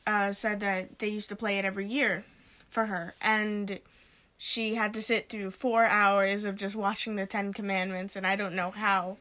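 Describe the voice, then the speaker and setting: A woman's voice: medium pitch, level tone, normal speed, pushed and bright. A twelve-year-old girl co-hosting a casual home podcast, shy and giggly as she answers. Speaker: podcast co-host.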